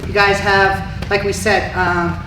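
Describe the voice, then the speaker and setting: A man coaching Muay Thai, explaining sparring in a relaxed way during a live Q&A. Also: high voice, takes it slowly. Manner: relaxed, explanatory, shouts cues while sparring. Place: martial arts gym. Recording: echoey gym heard from far off with noise.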